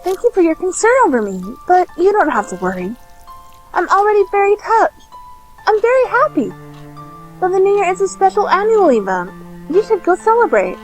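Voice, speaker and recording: Casting: woman as sweet, selfless schoolgirl for anime radio drama; very high, animated delivery, music underneath. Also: brisk, rough recording. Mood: earnest, reassuring. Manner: polite, earnest, eager. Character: cheerful, selfless, earnest teenage girl. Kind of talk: amateur anime-style radio drama acting.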